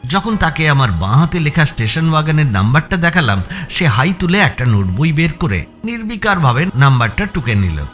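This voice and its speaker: Casting: male audiobook narrator, higher-pitched and lively, suspenseful first-person storytelling over soft music. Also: normal speed. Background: background music.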